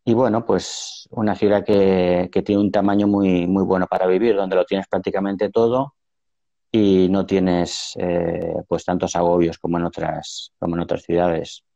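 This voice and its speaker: A man's voice: deep voice, level tone, unhurried, vocal fry, warm tone, dry recording. A man in his fifties with a Valencian Spanish accent, a painter answering interview questions hesitantly on a video call, often restarting his sentences. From Valencia, Spain. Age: 50s. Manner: hesitant, many restarts and fillers. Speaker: painter, guest. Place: room at home.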